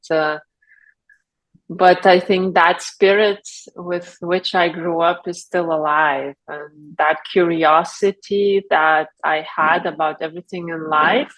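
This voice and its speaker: A woman from Lithuania speaking English, talking in a reflective, unhurried way with frequent fillers and soft laughs as an interview guest. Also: low-pitched, poor audio. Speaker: guest, brand founder. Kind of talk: casual interview talk.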